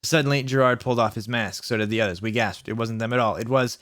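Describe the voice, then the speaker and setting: A man's voice: mid-range voice, fairly even intonation, fast talker, fairly bright tone, dry recording, studio quality. A man hosting a comic dramatic reading of fan fiction, mock-earnest and sarcastic in his asides.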